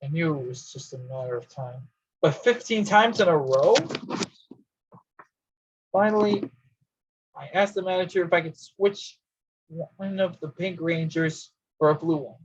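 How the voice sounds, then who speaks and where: Male monologue performer, comic storyteller, husky voice, halting theatrical delivery, dry but dull recording. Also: fairly high pitch, dry recording. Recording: dull lo-fi sound.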